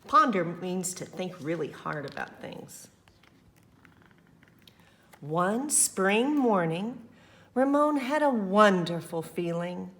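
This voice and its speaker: A woman reading a picture book aloud as a school librarian, expressive and affectionate, with chatty, encouraging asides to young children. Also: fairly low pitch, slow, pauses often.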